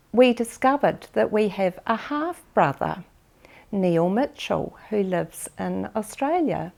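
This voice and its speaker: A New Zealand woman in her fifties or sixties tells her family's story for a documentary in an unhurried, thoughtful way. Her voice carries a gravelly edge, and she takes her time with frequent pauses. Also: medium pitch, a little mellow. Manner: measured and reflective, with pauses. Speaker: documentary interviewee. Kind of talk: personal family memoir.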